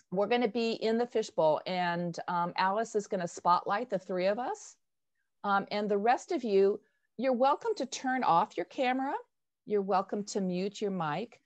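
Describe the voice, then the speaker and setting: Older woman facilitating, animated and emphatic. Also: medium pitch. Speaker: host, workshop facilitator. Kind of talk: informal online workshop discussion. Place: indoors on a video call. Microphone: wired earphone headset.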